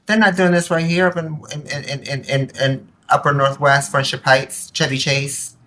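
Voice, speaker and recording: A woman's voice: low voice, fairly even intonation, normal speed, a bit bright. A woman guest speaking casually but with passion, emphatic and often repeating words to drive things home. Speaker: podcast guest. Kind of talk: impassioned casual conversation.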